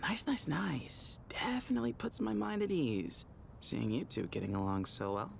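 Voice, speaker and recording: A breezy young leader from a video game. His voice is creaky and very varied in pitch, with a casual, glib delivery. Faint noise is behind him. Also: lively intonation, normal speed. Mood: relieved. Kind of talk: scripted video game voice acting.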